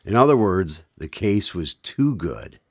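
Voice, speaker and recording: A man's voice: deep voice, very animated, unhurried, breathy edge, dry recording, clean studio sound. A man hosting a radio drama, delivering scripted questions and announcements. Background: guitar music.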